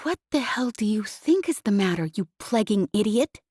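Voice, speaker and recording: Woman reads as tearful young woman pilot, high, sobbing, breathy, storybook reading. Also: big pitch swings, moderate pace, darkish tone, no echo, clean studio sound. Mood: furious, sobbing. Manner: angry, sobbing, swearing. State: crying.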